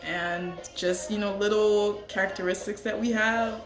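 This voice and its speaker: A woman's voice: mid-range voice, fairly even intonation, normal speed, echoey room, over music, low-quality recording. A young adult woman who grew up in the United States, a hairstylist chatting casually and laughing often. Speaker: hairstylist and co-host. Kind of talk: casual chat.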